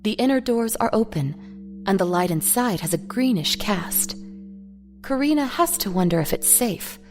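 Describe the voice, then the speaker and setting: A woman's voice: mid-range voice, animated, moderate pace, soft background music. A woman narrator reading a scripted dramatic story in the third person.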